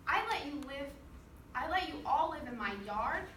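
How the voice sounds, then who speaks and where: A woman's voice: high voice, animated, normal speed, fairly bright tone. A woman voicing a grumbly, self-centred male bear who loves honey, in a storybook reading. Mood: indignant grumbling. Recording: roomy, distant microphone, clear noise.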